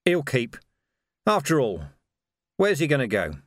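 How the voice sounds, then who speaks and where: A man voicing a weary, dogged city watch commander in a storybook reading, with a growling, croaky edge and blunt, clipped orders. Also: mid-range voice, wildly swooping pitch, measured pace, dry recording, clean studio sound. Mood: groggy, irritable.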